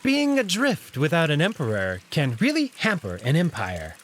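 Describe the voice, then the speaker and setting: A suave jackal courtier, acted out by a man, speaks in a fairly high, very swooping voice at a leisurely pace. He is airily polite with an insinuating edge, over faint trickling water. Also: huge pitch swings, moderate pace, fairly bright tone, slight background noise. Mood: smooth, insinuating. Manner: polite, leisurely, airy small talk with hidden edge.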